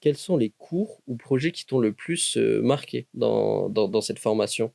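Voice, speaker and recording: A man's voice: on the low side, expressive, measured pace, creaky, warm tone, dry recording. A man interviewing a student guest for a university podcast, asking casual questions with frequent hesitations.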